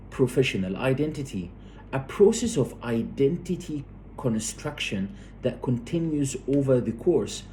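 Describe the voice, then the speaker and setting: Slow, halting male lecturer, slightly dark voice. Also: medium pitch, a little hiss or hum, low-quality recording. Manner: halting, repeats words, checks understanding with "okay". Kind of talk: explanatory academic lecture.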